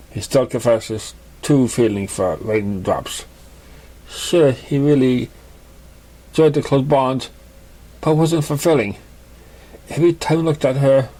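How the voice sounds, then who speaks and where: A man reading a fan fiction story aloud in a halting, stumbling way. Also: mid-range voice, dark tone, low-quality recording. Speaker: story reader.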